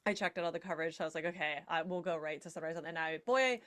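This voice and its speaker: A woman's voice: sits low, rapid-fire, a bit bright. A woman guest in a casual podcast discussion, talking in a jokey, playfully emphatic way.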